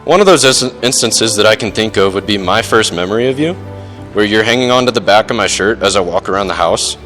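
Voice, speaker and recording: A young man with a fairly low voice gives a prepared but informal best man speech. His delivery is halting and dryly self-deprecating, with faint music in the background. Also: fairly bright tone. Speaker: best man, groom's older brother. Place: wedding reception, speaking to guests.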